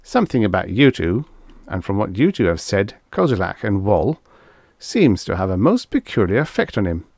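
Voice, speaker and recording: A man's voice: on the low side, sing-song, moderate pace, darkish tone, no echo, studio quality. A man reading an audiobook, voicing a take-charge man who speaks assertively and reasons things out aloud. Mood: insistent, reasoning. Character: commanding, take-charge man giving brisk orders. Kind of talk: audiobook character dialogue.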